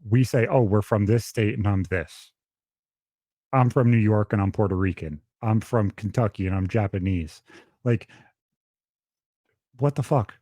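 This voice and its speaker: A man's voice: lowish voice, measured pace, long pauses, warm tone, no room sound, clean studio sound. A young man co-hosting a podcast over a remote call, talking bluntly and swearing a lot. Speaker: podcast co-host. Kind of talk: casual political chat over a call. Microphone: remote call with dropouts.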